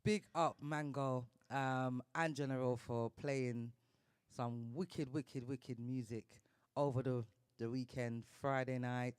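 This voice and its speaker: Male podcast host, casual and upbeat, slangy shout-outs, middle pitch, dry close sound. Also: fairly even intonation, slow, clear, resonant tone, no echo. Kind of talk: casual live talk show.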